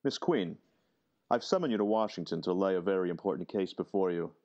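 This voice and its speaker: An actor playing a distinguished, formal senior government official in a deliberate old-time radio drama style. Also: male voice, sits low, expressive, a little mellow, highs cut off. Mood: grave, formal.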